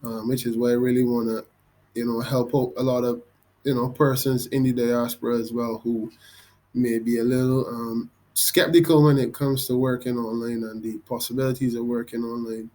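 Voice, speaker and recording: A young Barbadian man walks through his screen in a loose, unscripted way. He hesitates often, fills gaps with "um" and restarts his sentences. His voice sits at an ordinary middle pitch. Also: little pitch movement, moderate pace, dark tone. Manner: hesitant, many ums and restarts. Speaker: vlogger. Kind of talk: casual screen-recorded walkthrough.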